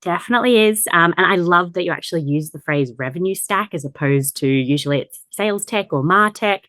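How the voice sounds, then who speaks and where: A woman's voice: on the low side, expressive, fairly quick, fairly bright tone, no echo. A woman hosting a podcast, putting questions to a guest in a conversational way. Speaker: podcast host.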